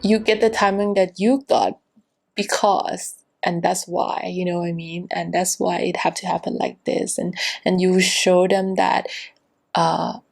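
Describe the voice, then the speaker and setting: A woman giving a casual, rambling tarot reading to her viewers, full of fillers and restarts, with a gentle, reassuring manner. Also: on the low side, little pitch movement, unhurried, gravelly, faint music behind. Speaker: tarot reader.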